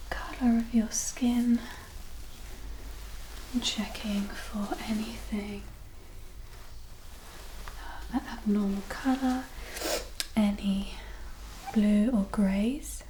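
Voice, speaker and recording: A woman softly whispering a medical ASMR roleplay as a doctor, breathy, gentle and reassuring. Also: fairly high pitch, steady tone, slow, long pauses. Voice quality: breathy, mostly whispered soft voice. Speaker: ASMR performer roleplaying a doctor giving a physical exam. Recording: roomy echo, distant mic, clear background noise.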